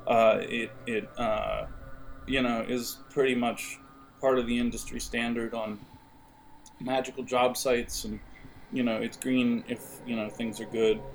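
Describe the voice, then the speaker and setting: A man acts as game master for an audio role-playing game, describing scenes in a loose, halting, conversational way. His voice sits at an average pitch, and music plays clearly behind him. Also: little pitch movement, low-quality recording. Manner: halting, searching for words, conversational.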